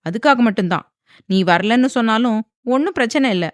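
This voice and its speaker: Woman reading a defiant young wife, sharp and scolding, dry close sound. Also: a little high, lively intonation, a little fast, no echo, voice pushed up, natural voice. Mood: furious.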